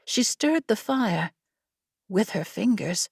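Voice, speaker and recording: A woman narrating a scripted fantasy story as an audiobook reader, measured and deliberate. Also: mid-range voice, expressive, vocal fry, breathy edge, no room sound, studio quality.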